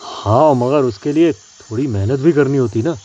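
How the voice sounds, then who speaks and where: A man voicing a friendly, quick-witted small animal in a children's cartoon story, polite and persuasive. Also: mid-range voice, warm tone, natural voice. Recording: dull lo-fi sound with faint music. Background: faint music.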